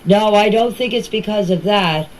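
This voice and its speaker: A woman's voice: mid-range voice, moderate pace, darkish tone. An older woman speaking earnestly and emphatically in informal voice messages, often repeating herself for emphasis. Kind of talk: informal voice messages to a group chat. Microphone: phone voice recording. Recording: noisy voice message clips.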